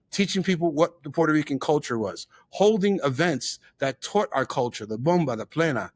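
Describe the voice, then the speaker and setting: Male, middle-aged, New York-raised guest. Emphatic storyteller who repeats for effect, mid-pitched, dry sound. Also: dry recording. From the Bronx, New York. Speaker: interview guest, chef and theater owner. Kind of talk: personal storytelling in an interview.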